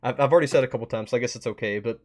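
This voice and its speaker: A man hosting a livestream in a casual chatty style, rambling a bit as he thinks out loud. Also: mid-range voice, racing. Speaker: streamer and host. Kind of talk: casual livestream wrap-up chat.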